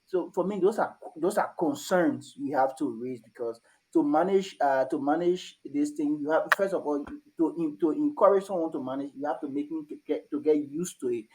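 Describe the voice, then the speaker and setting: Guy from liberia on a call, kinda high mellow voice, lots of uhs. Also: a little hiss or hum, low-quality recording. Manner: halting, many uh fillers. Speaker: summit participant. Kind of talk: casual online discussion.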